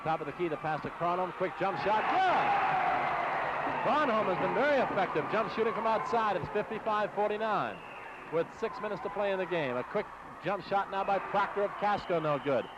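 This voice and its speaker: An American man doing live radio basketball play-by-play in clipped, rapid-fire short phrases, on an old AM broadcast. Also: high voice, lively intonation. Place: basketball game in a gym. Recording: muffled noisy 1960s AM radio broadcast.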